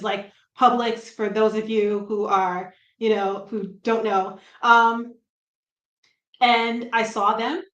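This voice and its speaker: A middle-aged woman from New Jersey with a light Brooklyn accent, a comic writer chatting as a guest, self-deprecating and quick to laugh. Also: medium pitch, fairly bright tone. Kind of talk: casual interview chat. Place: room at home. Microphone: video call. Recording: roomy echo on a video call.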